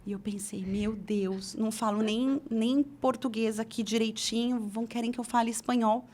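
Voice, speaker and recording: A woman's voice: on the high side, fairly even intonation, moderate pace, darkish tone. A middle-aged woman from Brazil, an interview guest telling her career story in a chatty way with many restarts. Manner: chatty, frequent restarts and fillers.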